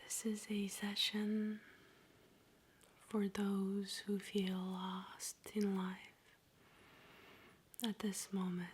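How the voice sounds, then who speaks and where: A woman guiding an ASMR meditation in a soft, breathy whisper with a gentle, soothing delivery. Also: medium pitch, monotone, a little hiss or hum. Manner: slow, gentle, soothing delivery with long pauses.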